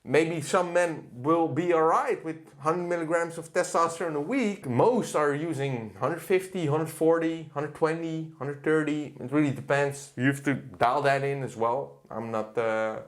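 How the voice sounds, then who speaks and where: Fitness coach guy, swears a lot, laughs between rants, slightly high voice. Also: measured pace, hardly any pauses. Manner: blunt, profane, ranting with laughs. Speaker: fitness coach reacting to a clip. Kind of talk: casual reaction commentary.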